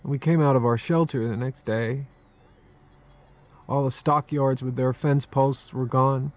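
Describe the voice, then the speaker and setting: An actor playing a haunted, subdued war survivor in a radio drama, hesitant and understated. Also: male voice, mid-range voice, fairly even intonation, measured pace, close to an everyday voice. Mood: numb recollection.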